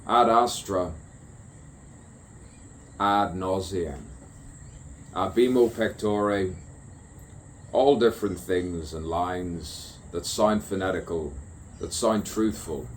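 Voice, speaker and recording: A man's voice: low voice, slow pace, long pauses, a little hiss or hum. A man delivering a stream-of-consciousness spoken poem, musing and repeating rhyming phrases. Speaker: poet.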